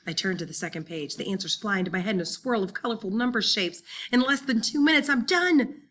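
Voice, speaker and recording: A woman reading a first-person children's novel as an expressive, dramatic audiobook narrator. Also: medium pitch, fast talker. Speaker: audiobook reader.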